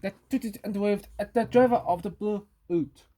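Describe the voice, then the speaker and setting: A woman reading a children's story aloud in a halting way, repeating and correcting words as she goes. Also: mid-range voice, warm tone.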